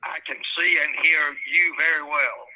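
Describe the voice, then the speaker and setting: A man's voice: a little high, normal speed, sharp tone. A man speaking as an attorney over a speakerphone, formal and courteous. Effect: phone on speakerphone. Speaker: attorney for an applicant. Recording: tinny speakerphone line.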